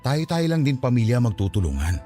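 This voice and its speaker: A man's voice: mid-range voice, big pitch swings, fairly quick, mellow, soft background music, natural voice. A man playing a patient, peacemaking father and husband with a Filipino accent, placating and pleading in a radio drama. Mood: pleading, reasoning.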